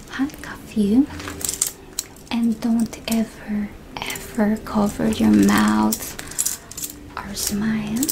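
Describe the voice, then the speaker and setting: A young woman performs an ASMR roleplay in a soft, often whispered voice that sits a little high. She gives firm instructions to the listener at a very slow, deliberate pace. Her speech has a lot of vocal fry, recorded close in a small room. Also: noisy background. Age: young adult.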